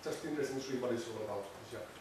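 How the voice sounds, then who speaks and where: A man's voice: mid-range voice, rapid-fire. A man from Finland introducing himself at a workshop, hesitant. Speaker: workshop participant. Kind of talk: self-introduction. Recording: echoey room from far off, noisy.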